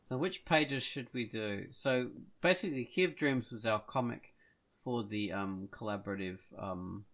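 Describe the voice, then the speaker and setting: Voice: medium pitch, moderate pace, fairly bright tone. A podcast co-host chatting casually, with a hesitant, drifting delivery.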